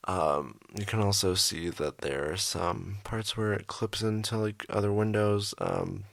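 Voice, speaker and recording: A young man hosting his art channel, rambling through a late-night voiceover with lots of ums, speaking quietly and a little raspy. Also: deep voice, no room sound. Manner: rambling, many ums, trailing off. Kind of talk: casual voiceover over a speed drawing. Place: his bedroom at home, at night.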